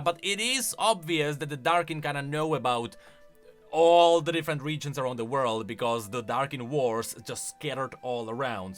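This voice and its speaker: A man's voice: medium pitch, pushed and bright. A man hosting a video, giving off-the-cuff, emphatic commentary that explains game lore.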